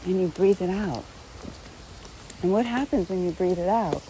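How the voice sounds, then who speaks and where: Female meditation guide: deeply mellow, relaxed tone, gentle and unhurried, faint background noise. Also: low-quality recording. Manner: gentle, unhurried, with long pauses between short phrases. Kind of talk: guided meditation, unscripted spoken instruction.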